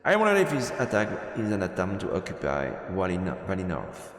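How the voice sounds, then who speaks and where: A man doing live let's play commentary and reading game text aloud, halting and repeating words as he goes. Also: sits low, huge pitch swings. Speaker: game streamer. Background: faint game music.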